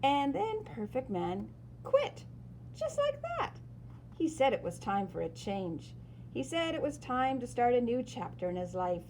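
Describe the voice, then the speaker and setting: Animated woman reading a children's story. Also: on the high side, a little hiss or hum, rough recording. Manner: animated, dramatic emphasis and pauses. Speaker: storyteller reading a picture book aloud. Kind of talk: expressive children's story read-aloud.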